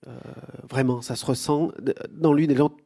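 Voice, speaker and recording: Male moderator with a warm, dark tone, halting delivery at a bookshop talk. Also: medium pitch, fairly even intonation. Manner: halting, many fillers and repeats. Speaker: event host. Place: bookshop event room.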